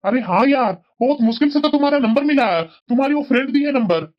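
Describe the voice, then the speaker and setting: A woman's voice: high-pitched, lively intonation, quick pace, muffled recording. A woman voicing an affectionate young man who pleads with an old college friend to meet him.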